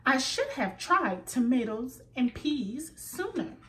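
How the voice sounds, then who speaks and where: A woman's voice: sits high, big pitch swings, slow, a bit bright, recorded from a distance, pitched above the performer's own voice, heavily acted. A woman voicing a cross, sulky female rabbit who cries out indignantly in a storybook. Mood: pleased. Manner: crying out, indignant.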